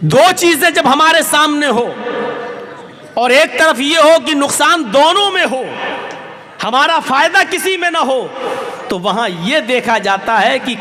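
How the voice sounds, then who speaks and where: A man preaching an impassioned public sermon in an oratorical way, with emphatic repetition and rhetorical questions. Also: very high voice. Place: large public gathering, over a PA system. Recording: echoey loudspeaker hall, crowd behind.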